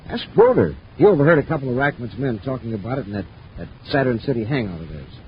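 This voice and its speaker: A man's voice: mid-range voice, big pitch swings, quick pace, close to an everyday voice. An actor playing an anxious, urgent henchman to a space crook in a 1950s radio drama. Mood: worried. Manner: agitated, urgent. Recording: muffled, faintly noisy 1950s radio recording.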